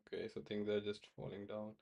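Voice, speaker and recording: Man from Pakistan, low voice, halting casual livestream talk full of "uh" and repeats. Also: creaky, darkish tone, husky. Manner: halting, many fillers and repeated words. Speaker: crypto trading livestream host. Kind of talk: casual live-stream chat answering viewers.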